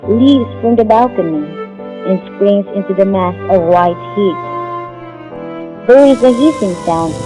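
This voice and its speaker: Prominent music plays behind a solemn storyteller, a woman, who narrates a tragic audio drama in a medium-pitched voice. The delivery is scripted and theatrical. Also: lightly acted, rough recording.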